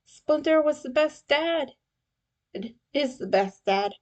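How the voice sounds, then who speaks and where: A woman voicing a wounded young male turtle in a story, teasing and sentimental, with an emotional, halting delivery. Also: high voice, expressive, darkish tone, muffled recording, pitched above the performer's own voice. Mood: tender, strained.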